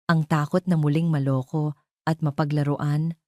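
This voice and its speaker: Woman telling a dramatic love story, steady low-ish pitch, bright voice, super dry sound. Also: normal speed, dry recording. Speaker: story narrator. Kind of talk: dramatic scripted narration of a romance audio drama.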